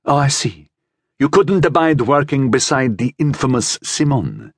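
A man voicing an impatient, insinuating gentleman schemer in an audiobook adventure tale. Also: medium pitch, very animated, unhurried, slightly rough, dry recording, studio quality, pitched above the performer's own voice, heavily acted. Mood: mocking. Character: smooth impatient cloaked gentleman hiring a killer.

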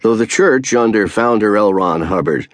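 A man reading a first-person memoir as an audiobook narrator, measured and earnest, slowing down to stress key phrases. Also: on the low side, animated, no room sound.

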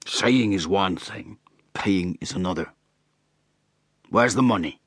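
A man's voice: deep voice, takes it slowly, no room sound, studio quality, exaggerated voice. A man voicing a drunken, brash rustic hay trusser who blusters and boasts. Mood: blustering. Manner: blustering and boastful, shouting at times.

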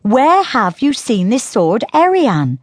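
A woman voicing a sharp-tongued young sister with mocking, quick retorts. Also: a little high, big pitch swings, no room sound, clean studio sound, voice pushed up, full character voice. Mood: teasing.

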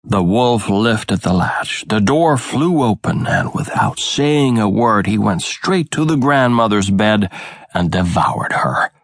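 A man narrates a children's fairy tale very slowly, with animated swings of pitch. His voice is gravelly, recorded close and dry. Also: dry recording. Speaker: audiobook narrator.

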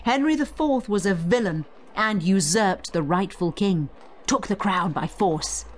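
Lively, expressive woman tutor. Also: medium pitch, unhurried. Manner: explanatory, emphatic, addresses the listener directly. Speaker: narrator and tutor of an audio study guide. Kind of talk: scripted educational narration.

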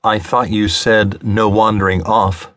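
A sardonic woman adventurer as a man narrator reads her in an audiobook. She is stubborn and grumbles with sarcasm. The voice is lifted above the usual deep narration, and the sound is dry. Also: little pitch movement, unhurried, fairly bright tone, no room sound. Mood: sarcastic. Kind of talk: audiobook character dialogue.

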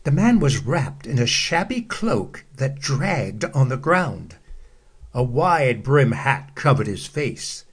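A man narrating a children's bedtime story, slow and expressive, acting out the quotes. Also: mid-range voice, breathy edge.

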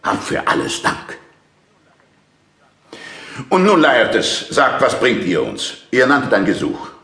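Casting: a pompous, controlling middle-aged king, very slow delivery with wide melodic swings, stage classic. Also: mid-range voice, wildly swooping pitch, creaky, pushed and bright, roomy sound, exaggerated voice. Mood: solemn, self-satisfied. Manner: stately, declamatory, deliberate. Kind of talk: classical audio drama acting.

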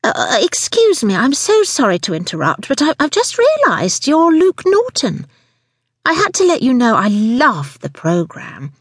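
A woman narrator performs a haughty woman customer from a storybook. The delivery is theatrical and high, swinging freely in pitch, in a close dry recording. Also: huge pitch swings, moderate pace, breathy edge, no room sound, exaggerated voice. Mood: simpering, gushing. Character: irate, haughty female café customer turned gushing fan.

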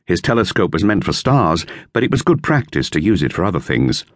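A man reading a children's novel as an expressive audiobook narrator. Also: low voice, brisk, no echo, studio quality.

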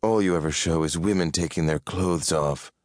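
A man's voice: low-pitched, normal speed, darkish tone, dry recording, clean studio sound, natural voice. A man voicing a blunt, selfish, easily bored female cat creature with a disdainful manner, in an audiobook.

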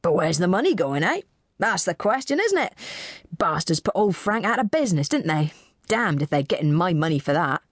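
A woman's voice: on the low side, sing-song, moderate pace, no echo, clean studio sound. Woman acting a blunt, combative country farmer man. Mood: aggrieved. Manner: blunt, combative, colloquial rural speech. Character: broad, gruff, blunt countryman farmer, middle-aged man.

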